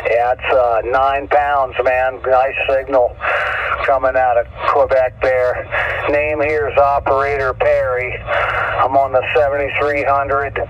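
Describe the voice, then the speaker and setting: A man's voice: mid-range voice, flat delivery, takes it slowly, dull audio, very noisy. A man chatting casually as a CB radio operator in a folksy, colloquial manner. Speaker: radio operator and channel host.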